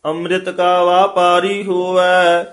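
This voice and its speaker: A man's voice: high-pitched, monotone, slow pace. A man reciting scripture in a sung chant, as a student does.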